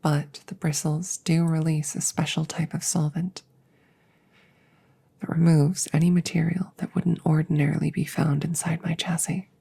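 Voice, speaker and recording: Woman acting a female android soldier, hushed and breathy, low flat pitch, slow formal delivery, stoic, polite, quietly grieving, ASMR roleplay. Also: heavily acted. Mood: calm, instructive. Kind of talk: whispered roleplay ASMR audio drama.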